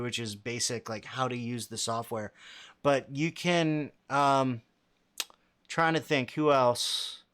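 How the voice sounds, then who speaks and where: A young man with a low voice and plenty of bass in it, talking casually to his livestream chat. He is relaxed and conversational, restarting sentences and dropping "um" and "like" as he thinks aloud. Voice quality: fairly low voice with a lot of bass. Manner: casual and halting, many fillers and restarts. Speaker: livestream host answering chat questions.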